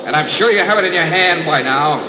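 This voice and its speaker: An actor playing a hard-boiled, wry insurance investigator in 1950s radio drama style, with a clipped, sardonic delivery. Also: male voice, on the high side, lively intonation, brisk, lo-fi sound, lightly acted. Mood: taunting, pressing. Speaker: star of a radio detective drama. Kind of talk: 1950s radio detective acting and narration. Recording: roomy, noisy old radio recording.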